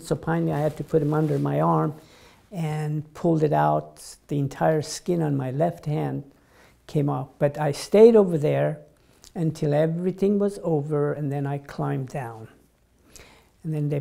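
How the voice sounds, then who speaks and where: An older man from Iran speaks with a gravelly, dark tone. He tells his stories earnestly, pausing on fillers and livening up at the exciting parts. He is recorded seated indoors for an interview. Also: on the high side. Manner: earnest, animated when recounting, halting with fillers. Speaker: Pathfinder director. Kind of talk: personal storytelling interview. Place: indoor room with armchair and lamp.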